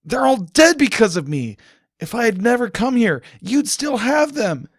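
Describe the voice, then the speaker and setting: A man's voice: very high-pitched, sing-song, moderate pace, dry recording, clean studio sound, pitched above the performer's own voice, exaggerated voice. A man voicing an anguished, guilt-ridden man in an audiobook, screaming his self-blame in tearful outbursts. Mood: anguished rage. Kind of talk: dramatic audiobook character voice.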